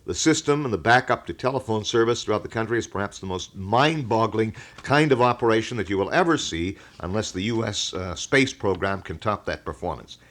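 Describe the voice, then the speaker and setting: A man hosting a local radio talk show, speaking in wordy, measured and detailed explanations. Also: fairly low pitch, animated, a bit bright. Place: radio studio.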